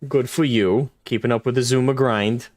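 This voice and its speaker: A man's voice: medium pitch, fairly even intonation, normal speed, a little mellow, no room sound. Rambling young male game streamer. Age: 20s. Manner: rambling, self-deprecating, laughs often. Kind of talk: casual live-stream chat, reading chat.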